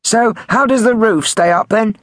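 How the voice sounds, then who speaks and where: A man voicing a cheeky young male prank caller from a storybook, with a Liverpool accent and mock-innocent, quick-fire questions. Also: sits high, a bit bright, no room sound, studio quality, voice pushed up. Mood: mischievous. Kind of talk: acted storybook dialogue.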